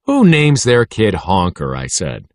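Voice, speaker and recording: A man's voice: deep voice, huge pitch swings, unhurried, no echo, heavily acted. A man voicing a wry schoolboy who tells his own story in a casual way. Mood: musing.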